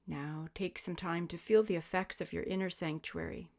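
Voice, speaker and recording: A woman guiding a sleep meditation as a yoga teacher, speaking in gentle, soothing, measured invitations. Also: fairly low pitch, fairly even intonation. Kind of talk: guided sleep meditation, spoken instruction.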